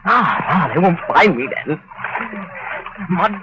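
Scared simple-minded henchman, high whimpering stammer, wide swings in pitch, vintage radio drama, muffled hissy recording. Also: lively intonation, slow. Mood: terrified. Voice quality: whimpering, stammering, ungrammatical pleading. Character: frightened simple-minded male henchman fleeing into a swamp. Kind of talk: old-time radio drama acting.

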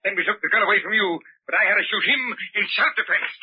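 A man's voice: high voice, fast talker, breathy edge, dry recording. An actor playing a bitter, cruel old father who threatens with commanding, mock-polite words in old-time radio drama style. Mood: furious. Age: older. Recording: muffled vintage radio recording.

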